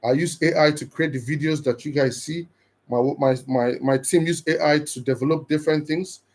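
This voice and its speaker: A man's voice: on the high side, steady tone, normal speed, a little mellow. A man from Nigeria giving an earnest, encouraging motivational talk on a live stream, often repeating and restarting his words.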